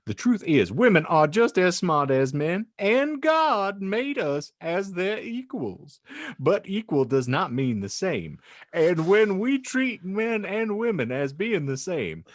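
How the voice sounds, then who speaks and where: A man hosting a comedy podcast, reading fan fiction aloud in a mock-dramatic, teasing, deliberate way. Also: high voice, very animated, dry recording, clean studio sound. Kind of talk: mock-dramatic audiobook narration with joking banter.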